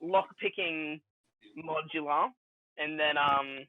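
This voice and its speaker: A man co-hosting a casual comedy podcast, telling absurd jokes in a rambling, halting way. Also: a little high, no room sound, narrow, tinny audio. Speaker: podcast co-host.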